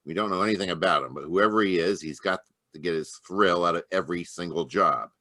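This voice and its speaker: An actor playing a blustering police inspector with a blunt delivery in a live radio drama. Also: male voice, low voice, fast talker, bright, forceful tone, no room sound, studio quality. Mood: gruff. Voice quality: brash, blunt American delivery. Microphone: video call.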